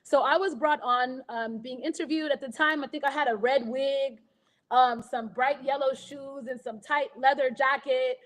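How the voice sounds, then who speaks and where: A woman in her thirties with an American accent, telling her story as a guest in a casual interview, animated and laughing as she acts out what others said. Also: sits high, moderate pace. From Kenya. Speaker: guest artist.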